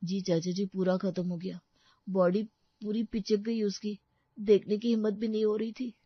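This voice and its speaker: A woman's voice: medium pitch, level tone, normal speed, no room sound, highs cut off, lightly acted. A woman playing a mild, respectful adult son who talks politely and hesitantly in a story. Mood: subdued, hesitant. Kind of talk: Hindi audio story dramatization.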